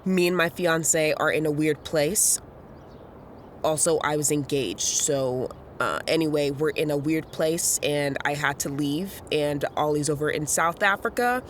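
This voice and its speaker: A young American woman playing a restless, self-searching young woman in an audio drama, halting and conversational. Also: low-pitched, a little hiss or hum. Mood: awkward, rambling. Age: young adult. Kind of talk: naturalistic audio drama acting.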